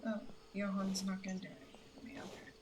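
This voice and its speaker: A woman's voice: medium pitch, monotone, fast. A young woman giving casual, playful and slightly sarcastic commentary while streaming a video game. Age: young adult. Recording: clear game noise behind voice.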